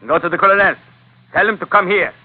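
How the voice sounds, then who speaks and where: Menacing foreign villain on tinny old radio. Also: male voice, high-pitched, steady tone, fast talker. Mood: sly, commanding. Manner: broken English, imperious and sly. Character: menacing foreign gunman villain, short-tempered schemer. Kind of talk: 1940s-50s radio adventure acting. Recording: narrow-band vintage radio recording, faint noise.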